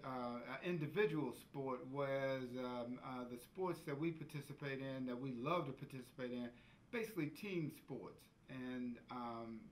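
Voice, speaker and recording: A man with a voice of middle pitch gives a slow, hesitant interview answer full of fillers. A faint hum of noise sits behind him. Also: fairly bright tone. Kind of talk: documentary interview.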